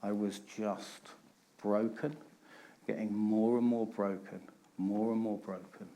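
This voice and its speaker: A man's voice: fairly low pitch, flat delivery, slow pace, dark tone, slight background noise. A middle-aged man, giving a candid personal talk on stage and stressing points through repetition. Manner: candid, emphatic storytelling with repeated phrases. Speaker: conference speaker.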